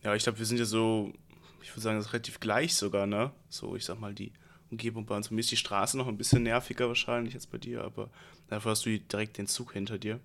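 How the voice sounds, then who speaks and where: A young man with a stuffy nose from a cold, telling a relaxed, rambling story in slangy casual chat as a podcast host. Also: fairly low pitch, fast talker.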